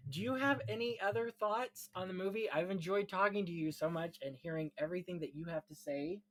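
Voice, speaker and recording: A man's voice: high-pitched, moderate pace, fairly bright tone, rough recording. A man hosting a casual discussion of film history, explaining things in rambling, enthusiastic detail. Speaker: podcast host.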